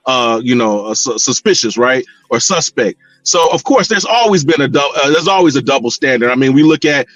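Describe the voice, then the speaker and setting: A man's voice: mid-range voice, lively intonation, brisk, few pauses, fairly bright tone, no room sound, lo-fi sound. A middle-aged American man talking casually as a call-in guest, rambling and repeating himself with frequent filler phrases. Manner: rambling, repeats words, frequent "you know". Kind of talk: casual discussion of personal experience. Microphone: internet call connection. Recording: band-limited online call audio.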